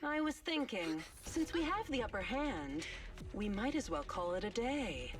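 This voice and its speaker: Snooty catgirl from a game, slow sultry disdain, distant sound with music. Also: mid-range voice, very animated. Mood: predatory.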